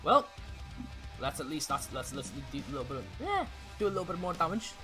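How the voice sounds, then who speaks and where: A young man chatting casually as he plays a card-game roleplay, often repeating himself in a halting way. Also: on the high side, sing-song, over music. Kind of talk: casual gameplay roleplay chat.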